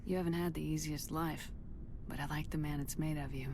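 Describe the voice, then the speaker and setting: A woman playing a resolute, caring military commander in a video game, firm, measured and direct. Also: low-pitched, fairly even intonation. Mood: warm, intimate. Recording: faint background ambience noise.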